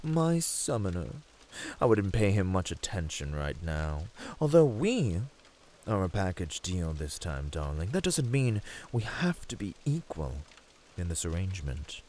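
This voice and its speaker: A man's voice: low-pitched, sing-song, a little slow, a little mellow, heavily acted. A man playing a smug, domineering demon with a British accent, teasing and purring theatrically in a flirtatious audio roleplay. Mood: taunting.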